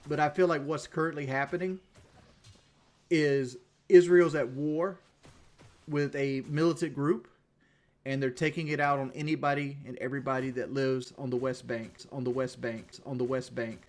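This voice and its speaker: Male streamer, casual livestream chat, mid pitch, blunt and profane, lots of restarts. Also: steady tone, normal speed. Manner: repeats himself and restarts often.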